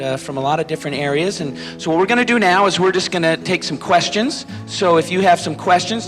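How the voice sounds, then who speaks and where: A man's voice: high-pitched, a bit bright. A man hosting a question session with young audience members, friendly and chatty, joking as he moves through the rows. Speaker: event host. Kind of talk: moderating audience Q&A. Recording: hall reverb, crowd, music.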